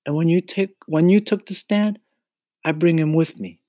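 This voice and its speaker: A man's voice: a little high, normal speed, a little mellow, no room sound, studio quality, voice pushed up, close to an everyday voice. A man voicing an earnest, eager young boy in a storybook, with a pleading manner. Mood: hopeful. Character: eager earnest young boy who loves his pet. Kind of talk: acted storybook reading.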